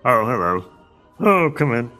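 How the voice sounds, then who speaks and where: A man's voice: medium pitch, very animated, measured pace. A man voicing a bumbling, childish grown man in a cartoon, talking in mumbles and grunts. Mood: grumbling. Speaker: lead cartoon character. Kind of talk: cartoon voice acting. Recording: music score behind.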